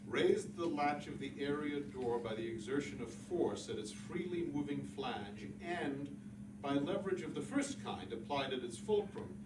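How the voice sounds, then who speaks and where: A man's voice: on the high side, expressive, moderate pace. A man giving a dramatic reading of literary prose. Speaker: literary reader. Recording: roomy echo, distant mic, clear noise.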